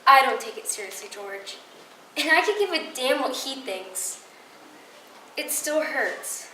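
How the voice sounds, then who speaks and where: A woman's voice: high-pitched, big pitch swings, measured pace, bright, forceful tone, heavily acted. A woman playing a sarcastic, indignant actress venting her wounded pride in a stage monologue. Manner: sarcastic, aggrieved. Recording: roomy distant microphone with clear noise.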